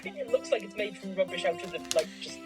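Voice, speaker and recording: A woman's voice: mid-range voice, fast talker, darkish tone, soft background music. A woman co-hosting a craft show in casual chat.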